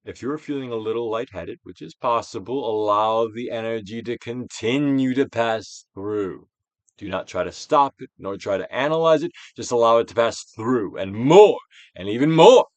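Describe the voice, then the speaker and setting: A man giving a theatrical, Shakespearean-style dramatic reading of a self-help book, with deliberate pauses. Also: mid-range voice, dry recording. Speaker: audiobook reader, actor.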